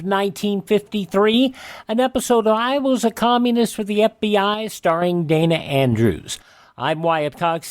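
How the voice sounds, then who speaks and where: A man hosting a radio program, talking in an announcer-like way as he reads out items and pitches. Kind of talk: radio hosting and live-read ads.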